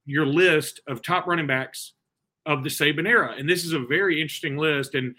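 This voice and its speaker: Guy hosting a sports talk show, bright voice, kinda high, chatty and opinionated. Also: brisk.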